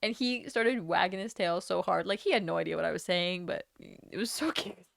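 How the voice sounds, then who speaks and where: A woman's voice: on the low side, lively intonation, moderate pace, creaky, fairly bright tone, slight background noise. A young woman from Latvia chatting casually on a live stream, rambling from topic to topic and swearing freely. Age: young adult.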